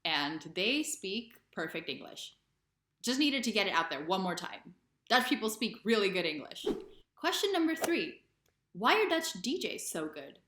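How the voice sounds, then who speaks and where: A woman's voice: mid-range voice, very animated, moderate pace, a bit bright. A young American woman in her twenties or thirties talking in a casual, conversational and emphatic way, explaining things as a video presenter. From United States. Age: 20s or 30s. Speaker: video presenter, expat vlogger. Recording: roomy, echoing sound.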